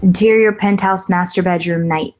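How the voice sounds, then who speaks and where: A woman's voice: lowish voice, fairly even intonation, moderate pace, darkish tone, rough recording. Woman reading stage directions. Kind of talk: scripted narration at a table read.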